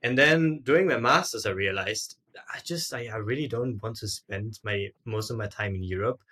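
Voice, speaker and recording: A man's voice: fairly low pitch, normal speed, bright, forceful tone. A man, telling his story casually as a podcast guest, with informal, loosely strung phrasing. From Austria. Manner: informal, frequent "like" and "sorta".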